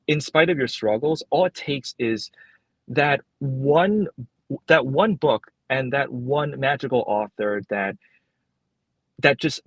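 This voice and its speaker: A man's voice: mid-range voice, no room sound, rough recording. A young man whose first language is Cantonese, earnestly answering interview questions with many fillers and restarts from his workplace library. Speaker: guest.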